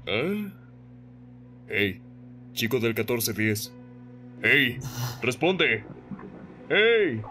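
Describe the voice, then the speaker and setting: A man's voice: medium pitch, very animated, extremely slow, long pauses, bright, forceful tone, soft background music, exaggerated voice. A man with a Latin American accent playing an intimidating, short-tempered gangster in a fan-dubbed webtoon. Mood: menacing, irritated. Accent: Latin American Spanish. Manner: menacing, blunt, drawling.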